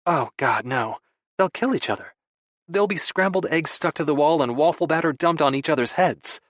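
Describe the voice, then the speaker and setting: A man voicing a tender, playful young wife with a soft, teasing, affectionate manner in audiobook dialogue. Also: on the high side, lively intonation, moderate pace, no echo, studio quality, pitched above the performer's own voice, lightly acted.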